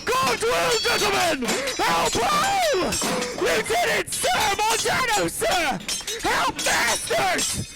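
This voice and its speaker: A man playing an excitable soldier in a Shakespearean tragedy, in a theatrical style, with music behind him. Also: high-pitched, a little slow, creaky, poor audio. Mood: alarmed.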